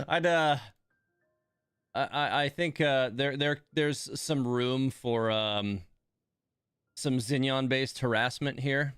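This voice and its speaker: A man giving casual live game commentary as a streamer, musing and thinking out loud. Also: mid-range voice, fairly even intonation, takes it slowly, long pauses, clean tone, no room sound, studio quality, soft background music. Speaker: game livestreamer.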